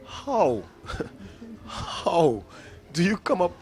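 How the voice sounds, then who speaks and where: A man's voice: high-pitched, very animated, drawn-out words, a little mellow, soft background music. A man hosting an interview show, asking enthusiastic, rambling questions full of fillers. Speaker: interview host.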